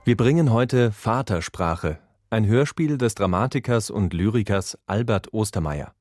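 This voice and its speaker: Low-voiced male announcer, very dry studio sound. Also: animated, measured pace, darkish tone, no echo. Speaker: radio announcer. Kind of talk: scripted programme introduction.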